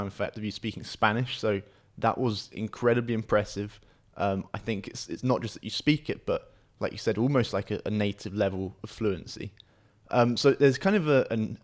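A man hosting a podcast interview, asking questions in an enthusiastic, encouraging way. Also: fairly low pitch. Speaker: podcast host.

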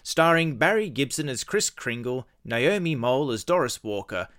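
A man's voice: medium pitch, animated, normal speed, fairly bright tone. A man hosting and narrating a radio play in a scripted storytelling style. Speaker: host and narrator. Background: music.

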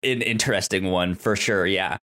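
A man co-hosting a podcast in casual TV recap chat, excitable and often stammering over repeated words. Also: deep voice, moderate pace. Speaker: podcast co-host.

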